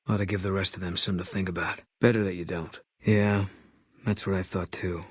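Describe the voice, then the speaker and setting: Casting: stoic, guilt-ridden military sniper in a video game; deep, raspy, terse, flat delivery. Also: male voice, no room sound. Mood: bitter, guarded. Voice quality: low, husky. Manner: deadpan, terse, clipped sentences. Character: terse, guilt-haunted ex-army sniper, grimly stoic man. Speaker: video game companion character.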